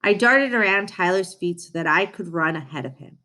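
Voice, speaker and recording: A woman reading a children's storybook aloud in an expressive manner, addressing young listeners. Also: fairly low pitch, normal speed.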